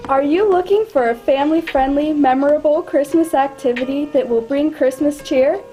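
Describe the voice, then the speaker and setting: A woman's voice: high voice, moderate pace, dark tone, soft background music, lightly acted. A woman playing a family-friendly radio announcer reading a scripted sponsor advertisement. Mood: inviting.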